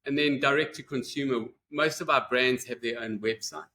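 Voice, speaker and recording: A man from Zimbabwe with a South African accent, answering podcast interview questions in a measured, explanatory way. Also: mid-range voice, fairly even intonation, bright, forceful tone. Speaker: brand manager guest.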